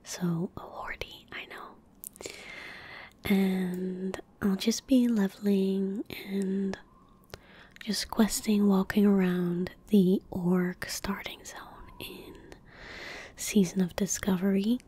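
A young woman giving soft-spoken ASMR gaming commentary, hushed, gentle and whispery, close to the microphone. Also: medium pitch, fairly even intonation, takes it slowly. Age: young adult. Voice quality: breathy, whispery. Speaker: ASMR streamer playing a game. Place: indoor gaming room at home. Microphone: close desk microphone.

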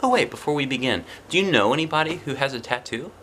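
A man's voice: mid-range voice, lively intonation, fast, pushed and bright. A man in his forties hosting a read-aloud storytime, chatting conversationally and putting questions to young listeners. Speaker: host reading a picture book aloud. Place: small indoor room, seated before a plain wall. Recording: clear background room noise.

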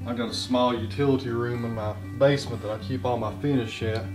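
A relaxed man in his thirties talks casually about his day and his woodworking. His voice sits at an ordinary middle pitch, easygoing and plainspoken. He talks to a handheld camera outdoors in the woods and later in a small workshop. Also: brisk, music in the background. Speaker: woodworker and channel host.

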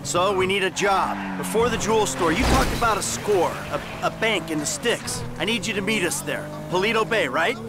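An actor playing a sardonic middle-aged American career bank robber in a video game, arguing in a dry, needling way. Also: male voice, sits high, expressive, moderate pace, hardly any pauses, loud music behind the voice. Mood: businesslike.

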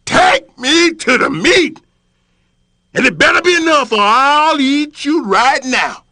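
A man voicing a fierce, hungry male lion who bosses the forest and growls out slow threats. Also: very high voice, expressive, sharp tone, no echo, clean studio sound, pitched above the performer's own voice, heavily acted. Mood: greedy, menacing. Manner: growling, bullying, drawn-out threats.

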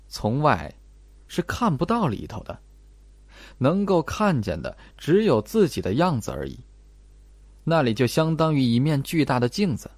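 A man's voice: mid-range voice, darkish tone, dry recording. A man narrating a mystery audiobook in a dramatic, scripted style.

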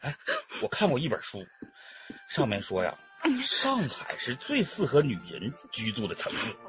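An actor playing a smug, chatty robber with a Northeastern Chinese accent, talking in a folksy, mocking drawl in a radio drama. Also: male voice, medium pitch, huge pitch swings, normal speed, a bit bright, dull audio, faint music behind. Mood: chatty, boastful. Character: smug, chatty male robber toying with his captive.

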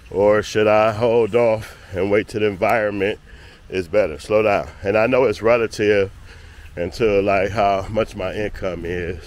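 A man vlogging casually on a walk outdoors in a park with his dog, rambling through his thoughts and calling to the dog now and then. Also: fairly low pitch. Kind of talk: casual walk-and-talk vlog.

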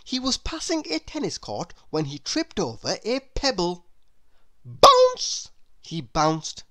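Woman reading a kids story, lowish voice, super expressive and up and down. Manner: expressive, deliberate. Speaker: storybook reader. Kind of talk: scripted children's story narration.

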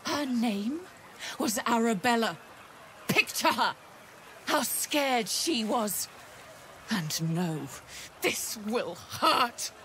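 A woman's voice: a little high, expressive, extremely slow, long pauses, bright, forceful tone, a little hiss or hum, exaggerated voice. A woman playing a bitter, vengeful grieving mother in a video game, cold and resolute. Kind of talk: video game character dialogue.

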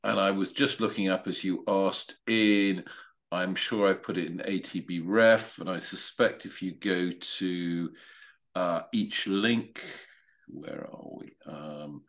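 Man, low voice, hesitant restarts, video call chat. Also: slow, fairly bright tone. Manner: hesitant, many restarts, opinionated. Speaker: meetup participant. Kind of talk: casual video call discussion.

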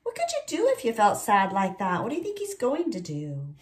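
A woman's voice: fairly high pitch, huge pitch swings, a little fast. A woman in her forties hosting a children's story time, reading expressively and asking leading questions. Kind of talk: picture-book read-aloud with chat for young children. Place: indoor room at home with bookshelves. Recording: roomy home recording.

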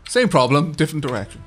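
A man's voice: fairly high pitch, fairly bright tone. A young man with a Welsh accent chatting casually while playing a game with a friend, rambling with lots of fillers. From Wales. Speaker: gaming streamer. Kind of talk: casual co-op gameplay chat.

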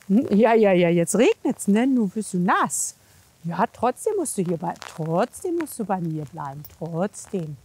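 A woman's voice: mid-range voice, sing-song, measured pace, a little mellow, slightly rough. A woman giving a casual hands-on demonstration outdoors, coaxing with short repeated reassurances and explaining as she works. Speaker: horse physiotherapist and trainer. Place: outdoors at a horse yard in wind and rain.

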